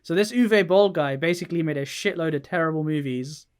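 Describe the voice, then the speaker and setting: A man's voice: on the high side, fairly quick, clear, resonant tone. A man co-hosting a podcast, explaining things casually with some swearing. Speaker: podcast co-host. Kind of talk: casual podcast chat about games and films.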